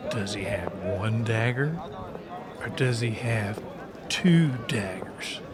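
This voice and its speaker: A man playing a cocky, wisecracking knife-throwing fighter in an audiobook drama, taunting theatrically with a drawl. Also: mid-range voice.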